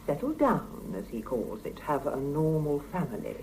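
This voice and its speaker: A woman's voice: low voice, very animated, moderate pace, a little mellow, a little hiss or hum, low-quality recording. An actress in a 1970s radio play voicing an outspoken, sardonic Soviet working mother with a teasing, emphatic delivery, on an old cassette recording. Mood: aggrieved, sardonic. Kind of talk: 1970s radio drama acting.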